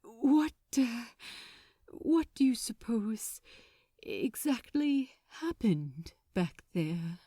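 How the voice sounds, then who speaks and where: Lady doing gentle proper guy angel for an audiobook, polite, unsure, very expressive, dry recording. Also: fairly high pitch, extremely slow, breathy edge, no room sound, exaggerated voice. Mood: tentative, breathy. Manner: tentative, polite.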